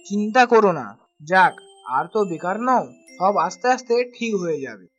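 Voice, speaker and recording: A man voicing a reassuring, brisk young friend in a cartoon. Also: sits high, animated, breathy edge. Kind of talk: cartoon voice acting. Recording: dull track with music behind.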